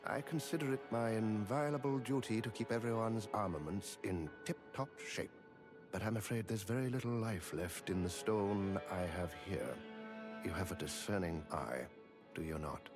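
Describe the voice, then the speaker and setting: A man voices a formal, courteous fantasy game armorer with a lowish voice. He speaks in measured, ornate phrases with great politeness, over prominent music. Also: lightly acted. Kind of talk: scripted video game character dialogue.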